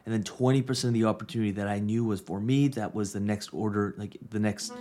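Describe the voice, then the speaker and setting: A man in his thirties talks through a coaching podcast episode in a low-pitched voice. He speaks earnestly and hammers points home by repeating phrases. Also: steady tone, fast, warm tone, soft background music. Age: 30s. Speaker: podcast host and business coach. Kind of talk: casual reflective monologue.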